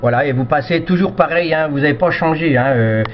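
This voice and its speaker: A man's voice: a little high, a little fast. A man chatting casually over amateur radio in a polite, hesitant, rambling way, often repeating himself. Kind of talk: casual radio contact chat. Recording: dull lo-fi radio audio with noise.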